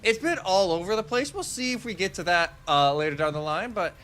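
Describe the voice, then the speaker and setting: A man's voice: high voice, animated, brisk, a bit bright. A man doing conversational live esports analysis as a commentator, explaining things in a casual way. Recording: music behind the voice. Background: music.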